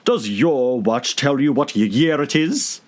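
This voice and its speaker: A man voicing an eccentric, grumbling storybook gentleman with a slow, quizzical, drawn-out delivery. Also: medium pitch, expressive, pitched above the performer's own voice, close to an everyday voice.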